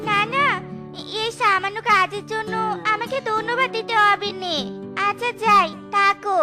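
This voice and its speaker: A woman's voice: extremely high pitch, measured pace, over music. A woman voicing a devoted, hardworking poor beggar wife and mother in a children's cartoon, with a childlike voice. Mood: earnest.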